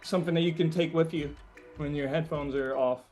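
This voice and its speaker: A man's voice: fairly high pitch, steady tone, brisk, darkish tone, faint music behind. A man answering interview questions as a musician, talking informally in hesitant, thoughtful phrases. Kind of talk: casual conversational interview answers.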